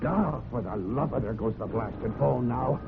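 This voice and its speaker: An actor playing a surly, resentful, half-drunk horse farm trainer, muttering in folksy, ungrammatical speech in an old radio drama. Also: male voice, mid-range voice, normal speed, gravelly, over music, natural voice. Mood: grumbling. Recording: noisy, phone-like old radio recording.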